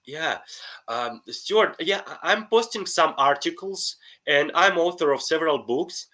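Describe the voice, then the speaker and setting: A man's voice: fairly high pitch, lively intonation, a little slow, sharp tone. A middle-aged man with a Russian accent, speaking earnestly and enthusiastically as a podcast guest, often restarting his sentences. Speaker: guest, life coach. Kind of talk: podcast interview.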